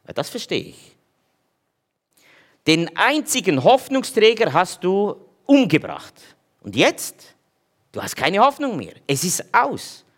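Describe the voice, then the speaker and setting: A man's voice: sits high, huge pitch swings, slow pace, long pauses, fairly bright tone. A man giving an informal sermon in a conversational way, hesitating often with fillers. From German-speaking region. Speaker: preacher.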